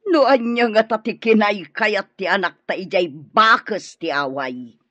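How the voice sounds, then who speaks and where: A woman's voice: mid-range voice, a little slow, a bit bright, no echo, lo-fi sound. A woman playing a proud, disapproving parent in an Ilocano radio drama, with an Ilocano accent. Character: proud, disapproving parent arguing over a child's sweetheart.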